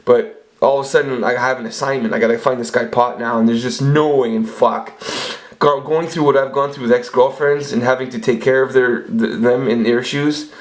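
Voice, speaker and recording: A man's voice: medium pitch, steady tone, normal speed. Profane rambling man in his forties. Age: 40s. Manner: crude, profane, rambling storytelling with frequent restarts. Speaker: vlogger. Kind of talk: rambling casual monologue.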